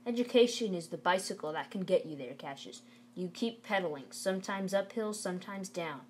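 A woman's voice: medium pitch, lively intonation, fairly quick, a little hiss or hum, close to an everyday voice. A woman reading aloud the part of a stern, plain-spoken father who lectures his son in a firm, folksy way. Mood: earnest, lecturing. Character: stern, plain-spoken Southern father, a working man urging schooling.

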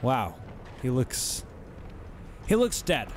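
A hoarse man giving casual let's-play commentary, thinking aloud as he plays. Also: medium pitch, huge pitch swings, a little hiss or hum. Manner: slow, rambling, thinking aloud.

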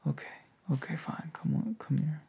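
A young man speaks softly and hesitantly in an intimate, breathy ASMR boyfriend roleplay, tender and low-key. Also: moderate pace, slight background noise. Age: young adult. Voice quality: soft, breathy, gravelly. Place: indoors, lying on a bed in a bedroom.

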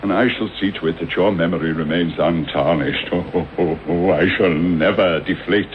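An actor with a British accent playing a mad, vengeful, gloating villain in a theatrical, wordy radio drama style. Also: male voice, low voice, steady tone, normal speed, natural voice. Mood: gloating, mock-mournful. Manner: theatrical, sardonic, elaborately wordy. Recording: dull, noisy old radio broadcast recording.

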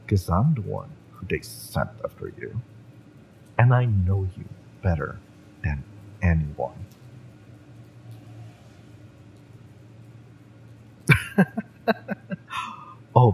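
A man playing a fiery, spurned mercenary ex-bodyguard in an audio roleplay, mocking and drawling with menacing intimacy and dramatic pauses. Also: deep voice, gravelly, a little mellow, slight background noise, full character voice, low-quality recording. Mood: menacing, smug. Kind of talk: scripted audio roleplay addressed to the listener.

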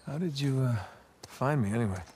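A man playing a weary, wry adventurer in his thirties in a cinematic game, speaking naturally with halting understatement. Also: mid-range voice, a little mellow, slight background noise, close to an everyday voice. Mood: grateful, curious.

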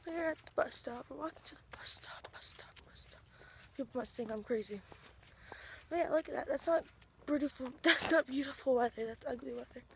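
A teenage girl chatting to her phone camera in a casual, giggly vlog, with a raspy voice after a game. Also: high-pitched, fairly quick, background noise. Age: teens. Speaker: vlogger. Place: outdoors by a road, then a classroom, then a car at night. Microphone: phone held at arm's length.

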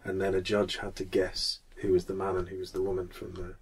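A man's voice: low-pitched, completely flat delivery, fairly quick, husky. A man in his thirties with a Mancunian accent, hosting a casual podcast chat. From Manchester, England. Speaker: podcast host.

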